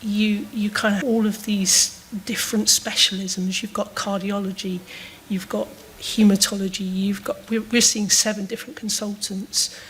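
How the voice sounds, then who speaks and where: A woman's voice: medium pitch, little pitch movement, fairly bright tone, slight background noise, poor audio. Hesitant woman asking a question. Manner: hesitant, halting, trailing off. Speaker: audience member asking a question. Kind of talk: spontaneous question at a panel discussion.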